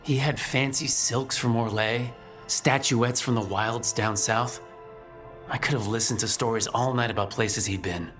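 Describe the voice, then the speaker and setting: An actor playing an earnest young human mage hero in a video game, reflective and determined. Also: male voice, medium pitch, fairly even intonation, a little slow, fairly bright tone, faint music behind, natural voice.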